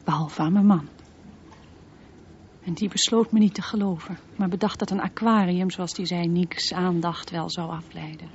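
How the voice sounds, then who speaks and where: A woman's voice: fairly low pitch, fairly even intonation, normal speed, a little mellow, natural voice. An actress playing a haunted mother confiding memories of her dangerous son in a radio drama monologue. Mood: grave, anguished. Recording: muffled radio play recording, slight noise.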